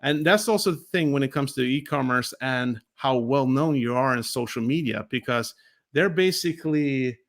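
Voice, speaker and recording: A man's voice: medium pitch, normal speed. A man co-hosting a casual investor livestream, rambling and often ending phrases with a questioning tag. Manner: rambling, frequent "right?" tags. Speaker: co-host.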